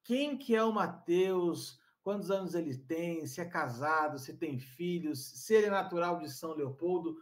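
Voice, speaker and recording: A man with a fairly high voice hosts a relaxed conversation, friendly and upbeat. He welcomes the guest warmly and keeps asking questions, in a casual podcast chat. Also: normal speed, few pauses. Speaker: podcast host.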